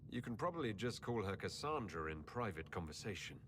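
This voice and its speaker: A man's voice: on the low side, very animated, fairly quick, a bit bright, slight background noise. A man playing a composed, courteous fantasy leader in a video game, speaking politely and directly. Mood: wry, polite. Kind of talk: video game cutscene acting.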